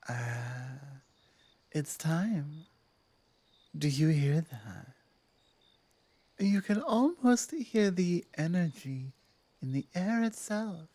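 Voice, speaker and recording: Woman acting a werewolf alpha lady for asmr roleplay, close dry sound, kinda slow n hesitant, bossy but softening. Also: low voice, dry recording, exaggerated voice. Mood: hesitant, hopeful. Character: dominant but softening female werewolf pack leader, earnest, hesitant. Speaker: audio roleplay performer.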